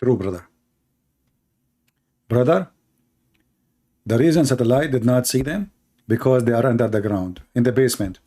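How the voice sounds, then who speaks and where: A man's voice: medium pitch, moderate pace, pauses often, mellow. A man hosting an online debate, mocking in a sarcastic rant with broken English, restarts and many fillers.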